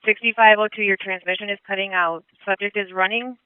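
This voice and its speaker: A male police dispatcher speaking over radio traffic in a calm, clipped way, repeating back information. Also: sits high, fairly quick, smooth, phone-quality sound. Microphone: dispatch console radio.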